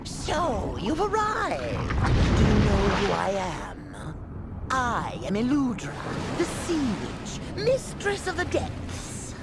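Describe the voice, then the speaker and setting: A woman's voice: mid-range voice, huge pitch swings, slow, heavy background noise, exaggerated voice. A woman playing a haughty, vengeful dragon sorceress in a video game, grandiose, menacing and full of contempt. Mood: grand, menacing. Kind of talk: video game villain acting.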